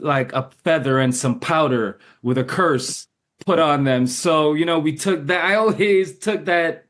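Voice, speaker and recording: A man from Jamaica, an actor guest, telling stories in a casual interview with lots of laughter, acting out his own past lines. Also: on the high side. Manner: animated, laughs often, acts out his own past remarks.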